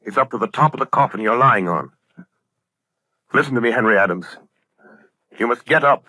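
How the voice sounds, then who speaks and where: An actor playing a mysterious, commanding crime-fighting avenger in an intense, emphatic 1930s radio drama style. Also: male voice, mid-range voice, animated, moderate pace, pushed and bright, poor audio. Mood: urgent. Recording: vintage 1930s radio broadcast.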